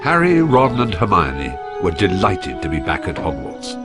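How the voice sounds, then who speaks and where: A man's voice: deep voice, wildly swooping pitch, moderate pace, loud music behind the voice. A man playing the storybook narrator of a wizard tale in a video game, reading scripted narration. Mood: storytelling.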